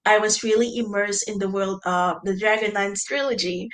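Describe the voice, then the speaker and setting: A young woman game designer answering interview questions in an earnest, soft-spoken way. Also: medium pitch, fairly even intonation, normal speed.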